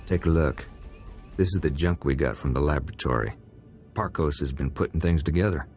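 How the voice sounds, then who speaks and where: A man playing a tough, laconic, suspicious prison gang convict in a game, gruff, clipped and wry. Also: very deep voice, brisk, over music. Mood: wry, casual. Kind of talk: game cutscene voice acting.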